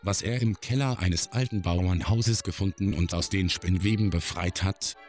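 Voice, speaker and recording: A man performing a reflective middle-aged man's literary radio-play monologue, philosophical and measured. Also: low-pitched, darkish tone, soft background music, lightly acted. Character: reflective middle-aged man musing on his own life.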